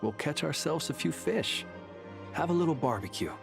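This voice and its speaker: Unflappable, wry gentleman adventurer. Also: fairly high pitch, lively intonation, vocal fry. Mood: nonchalant. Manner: measured, courteous, drily nonchalant. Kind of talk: English video game voice acting. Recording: game audio with faint music.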